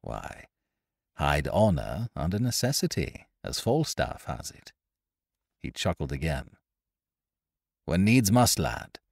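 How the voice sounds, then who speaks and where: A man's voice: very deep voice, very animated, slow pace, vocal fry, long pauses, dry recording, clean studio sound, exaggerated voice. Man voicing a swaggering, reckless sea rogue. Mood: mocking. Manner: swaggering, archaic seafaring speech. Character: reckless, jovial male sea adventurer.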